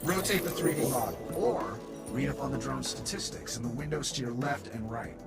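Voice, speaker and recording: A man's voice: medium pitch, brisk, creaky, loud music behind the voice, close to an everyday voice. An actor voicing a brash male AI weapons maker in a video game, casual and cocky, explaining features and calling his user boss. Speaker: onboard AI guide.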